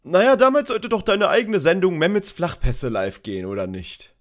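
A man's voice: high-pitched, very animated, normal speed. A man voicing a chummy male TV football host in a parody, chatty and mock-formal. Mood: chummy, presenting. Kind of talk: parody of sports presenting.

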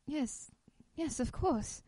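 A woman acts a persistent, inquisitive wife in a radio play. Her voice is high and theatrical, earnest and probing, suspicious yet affectionate. The sound is close and dry. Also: lively intonation, takes it slowly, dark tone, no echo. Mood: sympathetic.

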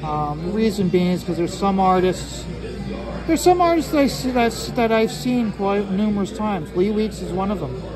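A man talking casually and ramblingly as he draws, halting often and repeating his phrases. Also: high voice, expressive, music in the background, low-quality recording. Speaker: artist hosting a drawing livestream or video. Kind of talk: casual rambling talk while drawing.

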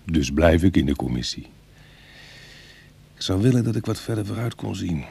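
An actor playing a wry, sceptical ex-burglar in a radio drama, husky and brooding, with hesitant conversational delivery. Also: male voice, low-pitched, lively intonation, normal speed, dark tone, slight background noise. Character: wry, sceptical male ex-burglar on a prison committee. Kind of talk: Dutch radio drama acting.

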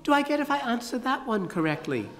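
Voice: mid-range voice, big pitch swings, fast talker, fairly bright tone, voice pushed up. A narrator voicing a clever, proud young girl who speaks earnestly and musingly, with a long hall reverb on the recording.

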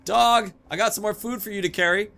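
A man's voice: high-pitched, fairly even intonation, fairly quick, creaky, a bit bright. A man in his thirties giving casual, playful gameplay commentary, rambling and talking to himself as he plays. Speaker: gaming video host.

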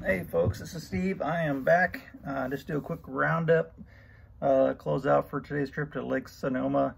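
A middle-aged man giving a casual fishing report, relaxed and rambling with many ums and uhs, recorded on a camera at arm's length. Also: on the high side, moderate pace, gravelly. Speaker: fishing vlogger. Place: outdoors on a boat on a lake. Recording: loud outdoor boat and wind noise. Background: boat motor and water noise.

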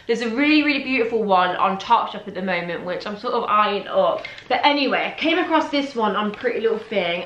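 A young woman chats to her viewers in a bright, lively tone, her voice a touch worn from hours of talking. She sounds a little higher than most women. The bedroom around her leaves a clear echo on every word. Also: highs cut off. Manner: chatty, addresses viewers as guys. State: slightly losing her voice. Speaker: fashion vlogger. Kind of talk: chatty clothing haul. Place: bedroom, seated on a bed.

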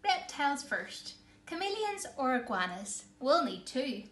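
A woman voicing a brisk, bossy, matter-of-fact mum out shopping in a children's storybook. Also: high voice, big pitch swings, a bit bright, roomy sound, full character voice.

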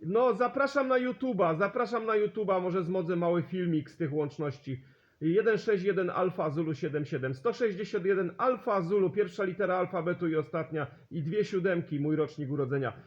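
High-voiced sociable man, dull lo-fi sound. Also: a little fast. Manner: sociable, repeats call signs and sign-offs. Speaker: CB radio operator and channel host. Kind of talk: amateur radio contact chat, exchanging signal reports. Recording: dull lo-fi radio-contact recording.

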